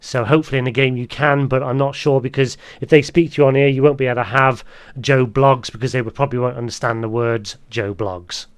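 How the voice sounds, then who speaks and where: A man's voice: mid-range voice, fairly even intonation, fairly quick, clean tone. A man hosting a casual gameplay tutorial, explaining things in a rambling way. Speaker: gaming video host.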